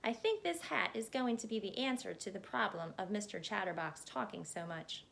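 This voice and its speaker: A woman voicing a patient, long-suffering shopkeeper man in a children's storybook, speaking in a measured way. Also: mid-range voice, lively intonation, vocal fry, fairly bright tone. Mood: relieved.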